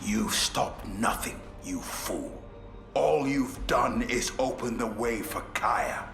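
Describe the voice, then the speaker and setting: An actor playing a bitter, accusing soldier in a dramatic video game. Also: male voice, mid-range voice, slow pace, vocal fry, fairly bright tone. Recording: echoey room, music behind.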